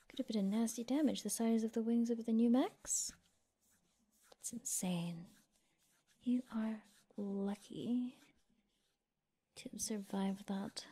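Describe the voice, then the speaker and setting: A woman playing a friendly, gently teasing mecha mechanic in an ASMR roleplay, soft-spoken and often whispering, murmuring reassurance as she works. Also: fairly high pitch, breathy edge, full character voice. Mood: soothing, focused. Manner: unhurried, murmuring reassurance while busy working.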